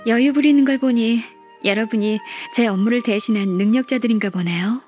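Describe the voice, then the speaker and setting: A woman playing a gentle, earnest, modest young secretary in a video game, speaking softly and politely. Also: a little high, soft background music, close to an everyday voice. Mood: composed, businesslike. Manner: soft, polite, measured.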